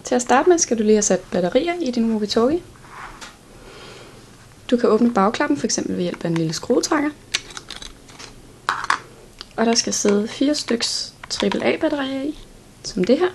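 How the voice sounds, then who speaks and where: A woman walks through instructions plainly, one step at a time. Her voice sits a little high and breaks into clear creak. The recording carries faint noise behind her. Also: expressive, pauses often, darkish tone. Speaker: product demonstrator. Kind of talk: instructional product walkthrough.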